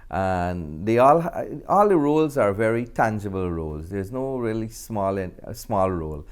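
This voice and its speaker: A middle-aged man with a Guyanese accent, talking in a halting, reflective way as a film director in an interview. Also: on the low side, animated. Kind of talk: conversational interview.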